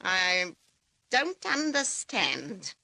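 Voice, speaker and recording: An actress playing a gruff, indignant older farm woman in an old-time radio drama, brusque and scornful. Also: mid-range voice, sing-song, drawn-out words, bright, forceful tone, no echo, heavily acted. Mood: defensive, scornful.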